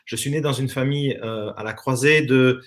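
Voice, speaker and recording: A man's voice: medium pitch, moderate pace, fairly bright tone. A man from Belgium with a Belgian French accent, telling his story as a guest in a casual online interview over a video call, earnest and reflective. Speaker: interview guest, former professional dancer.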